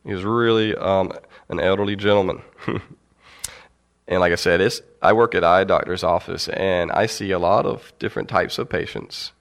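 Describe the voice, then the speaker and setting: A young man with a cold giving a casual, halting Bible teaching to his church, with fillers and light laughs. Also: low voice, fairly even intonation, gravelly. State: has a cold.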